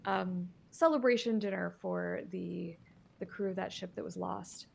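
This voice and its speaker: A woman narrating a tabletop game as game master, describing scenes in a halting way with many fillers. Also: lowish voice, vocal fry, breathy edge.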